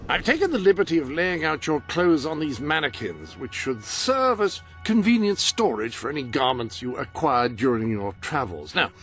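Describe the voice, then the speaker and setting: An actor playing a fussy, learned and loyal British butler in a video game, formal and wordy. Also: male voice, fairly high pitch, big pitch swings, normal speed, few pauses, fairly bright tone, soft background music. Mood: helpful, fussy. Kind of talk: video game cutscene acting.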